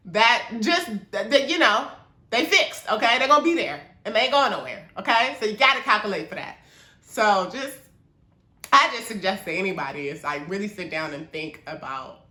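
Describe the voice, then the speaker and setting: Chatty woman with a bright voice. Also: lowish voice, reverberant. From Maryland, US. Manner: chatty, loose and encouraging, uses lots of fillers. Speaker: small business owner. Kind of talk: casual talk to camera.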